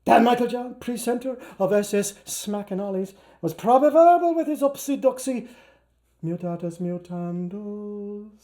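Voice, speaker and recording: Irish male actor for live literary reading; playful, theatrical, quick to switch character. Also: extremely high pitch, dark tone. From Ireland. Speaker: actor, reader. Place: live performance before an audience.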